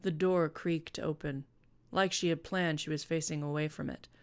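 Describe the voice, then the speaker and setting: A woman with a slightly low voice presents a comic story. She talks casually and moves into narration as she reads her own writing aloud. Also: fairly even intonation, moderate pace. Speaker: presenter reading own fan fiction.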